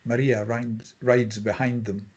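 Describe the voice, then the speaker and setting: A man with a husky voice reading scene headings and stage directions as scripted narration at a table read. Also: mid-range voice, level tone, slow pace, a little mellow, muffled recording.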